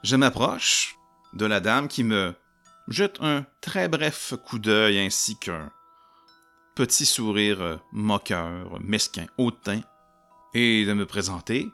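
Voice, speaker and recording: A low-voiced man with a Québécois accent tells his solo tabletop roleplaying game to the listeners. His delivery is bright and animated, and his pitch leaps up and down as he jokes his way through the story. Faint music plays behind him. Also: slow pace, vocal fry. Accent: Québécois French. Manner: animated, jokey storyteller who talks to his audience.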